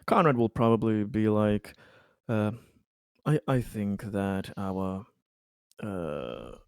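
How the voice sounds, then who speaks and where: A man taking part in a tabletop roleplaying discussion, speaking haltingly and repeating words as he searches for phrasing. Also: on the low side, lively intonation, gravelly, smooth, no room sound, clean studio sound. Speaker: roleplaying game player. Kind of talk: casual game discussion.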